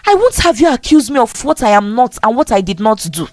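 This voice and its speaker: High, indignant bride acted by a woman. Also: sing-song, brisk, darkish tone, no room sound, studio quality. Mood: indignant, tearful. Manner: firm, pleading, emphatic. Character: distraught, indignant young bride defending herself.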